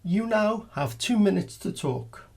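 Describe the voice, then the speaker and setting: A man with a gravelly, husky voice reading scripted announcements as a formal, instructional exam presenter. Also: sits high, lively intonation, measured pace, darkish tone. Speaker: exam examiner and channel presenter.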